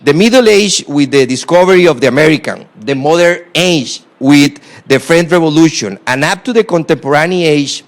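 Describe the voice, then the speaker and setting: Costa Rican man lecturing in halting English. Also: fairly high pitch, animated. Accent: Costa Rican Spanish. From Costa Rica. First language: Spanish. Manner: halting non-native English with frequent fillers and restarts. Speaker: guest lecturer. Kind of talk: university guest lecture. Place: lecture hall.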